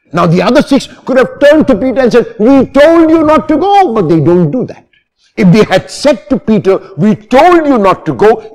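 High-voiced older priest preaching slowly. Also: male voice, darkish tone. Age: 60s. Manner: deliberate, emphatic, with repeated phrases for stress. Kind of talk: homily, expository sermon. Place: church, at the lectern.

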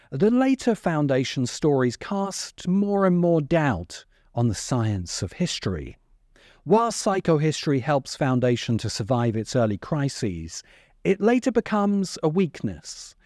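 Animated middle-aged man narrating an essay. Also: mid-range voice, no room sound, clean studio sound. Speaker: video essayist host. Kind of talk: scripted video essay narration with emphatic quoting. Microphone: close desk microphone.

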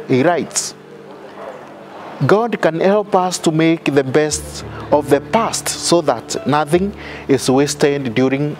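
A middle-aged man, a priest giving a measured sermon outdoors with many fillers and restarts. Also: fairly high pitch. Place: outdoor garden altar.

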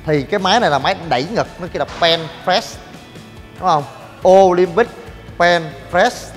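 A young man hosting a gym vlog, joking in rapid banter and shouting coaching cues. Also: high-pitched. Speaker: fitness vlogger. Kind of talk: energetic gym demo vlog. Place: large indoor gym. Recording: long gym reverb with music.

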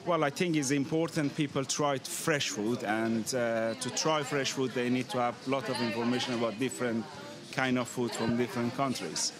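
A man's voice: medium pitch, fairly even intonation, normal speed. Iranian-accented man over busy crowd noise. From Iran. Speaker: chef being interviewed. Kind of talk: informal interview answers. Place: open tent at an outdoor street food festival. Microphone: handheld microphone held out by interviewer. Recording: busy outdoor crowd chatter behind.

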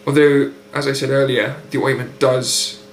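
Young man, British accent, nasal blocked voice, precise unhurried talk, webcam in a roomy space, faint hum. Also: mid-range voice, flat delivery. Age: young adult. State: nasal, blocked nose after surgery. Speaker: patient keeping a recovery diary. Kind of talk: measured video diary to camera. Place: indoor room at home by a window. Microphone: built-in webcam mic, close framing. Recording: echoey room with faint hum.

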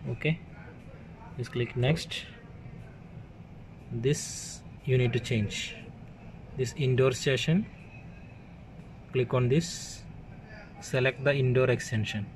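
Man giving instructions over clear background noise. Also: medium pitch, slow pace, pauses often. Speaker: tech tutorial presenter. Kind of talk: hands-on step-by-step demonstration. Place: indoors at an equipment workbench. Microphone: handheld phone camera mic. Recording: clearly noisy room ambience on handheld recording.

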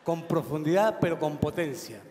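A Chilean comedian playing a bossy director in a live stage sketch, giving orders in an exaggerated way. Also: male voice, on the high side, expressive, normal speed, noisy background. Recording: live festival stage with hall echo.

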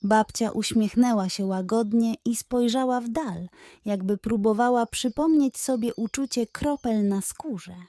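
A woman's voice: on the high side, a little slow, mellow, no room sound. A woman narrating a scripted bedtime story for children.

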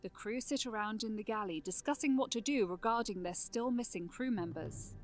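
A woman's voice: fairly high pitch, moderate pace. A woman reading scripted narration for a fantasy audio drama.

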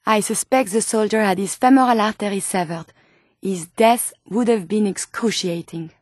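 A woman's voice: medium pitch, normal speed, a little mellow, no echo, clean studio sound, close to an everyday voice. An actress playing an earnest, curious female medical robot turned human in a video game, with a French accent and precise, formal diction. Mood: concerned, earnest. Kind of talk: video game character dialogue.

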